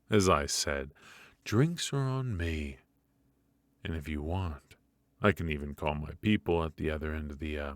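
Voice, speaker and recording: Man acting a very deep-voiced, smug mafia boss. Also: no echo. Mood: teasing, smug. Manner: smooth-talking, teasing, deliberate with drawn-out pauses. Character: smug, menacing male mafia boss, courteous and ruthless. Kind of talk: ASMR roleplay acting.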